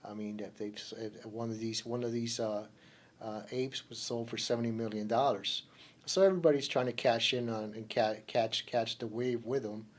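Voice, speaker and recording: A man's voice: mid-range voice, fairly even intonation, normal speed. A man from Cuba, a lawyer hosting a radio program, explaining things in an informal way and often stopping to restart his phrases.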